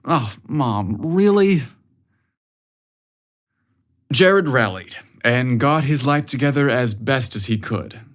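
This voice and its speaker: A man's voice: medium pitch, measured pace, few pauses, fairly bright tone. A man reading a scripted story as its narrator.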